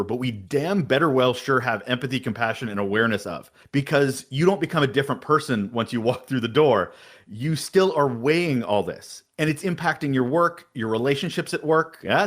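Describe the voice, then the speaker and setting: A man guesting on a podcast, talking emphatically and energetically in an interview. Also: medium pitch. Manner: energetic, emphatic, talks about having a big voice. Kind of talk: interview answers on leadership.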